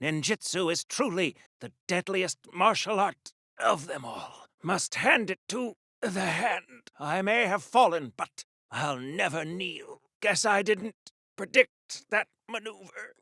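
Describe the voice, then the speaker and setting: A man's voice: high voice, lively intonation, slow, bright, forceful tone, dry recording, clean studio sound, exaggerated voice. An actor playing a pompous, boastful masked superhero swordsman in a video game, in the theatrical, flowery manner of a 1940s radio serial hero. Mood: defiant. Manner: grandiloquent, melodramatic, arch, with flowery archaic phrasing.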